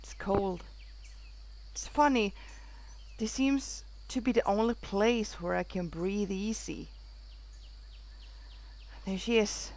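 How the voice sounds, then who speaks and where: A woman's voice: medium pitch, slow pace, pauses often, darkish tone, a little hiss or hum, close to an everyday voice. A woman playing a bitter, self-reproaching young female character in a visual novel. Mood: subdued. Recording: music behind the voice.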